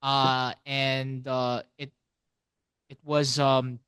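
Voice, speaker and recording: A man co-hosting a casual podcast, explaining things haltingly and often circling back to repeat phrases. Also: mid-range voice, flat delivery, fairly bright tone, clear, resonant tone, no echo. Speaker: podcast co-host.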